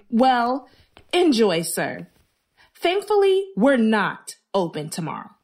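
A woman's voice: on the high side, a little mellow, full character voice. A woman playing a blunt, sardonic but protective bookstore worker in a radio drama.

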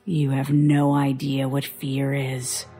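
An actress playing a bitter, guarded young woman, a traumatized survivor, in an audio drama, husky and sardonic. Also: a bass voice, monotone, slow pace, dark tone, faint music behind, full character voice. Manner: sardonic, defensive.

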